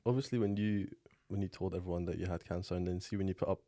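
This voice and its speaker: A young man from Iran who grew up in Scotland, co-hosting a podcast in casual chat, laid-back and chiming in with quick reactions. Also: low voice, steady tone, warm tone. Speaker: podcast co-host.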